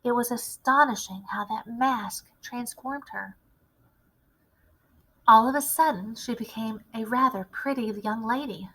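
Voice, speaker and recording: A woman reading a children's story aloud in expressive, dramatic, clearly phrased narration. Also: a little high, measured pace, rough recording. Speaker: read-aloud teacher.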